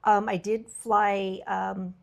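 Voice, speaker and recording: Slightly raspy woman, reflective podcast host. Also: medium pitch, flat delivery, takes it slowly. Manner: earnest and reflective, many fillers and restarts. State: slightly raspy voice from travel fatigue. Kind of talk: candid podcast conversation.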